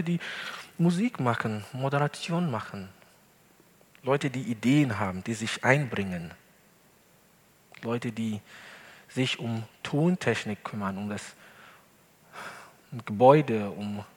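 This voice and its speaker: Slow-preaching male pastor, non-native German. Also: mid-range voice, lively intonation. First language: not German. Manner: earnest, slow and deliberate preaching with emphatic stresses. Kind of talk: sermon. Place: church hall. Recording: long hall reverb.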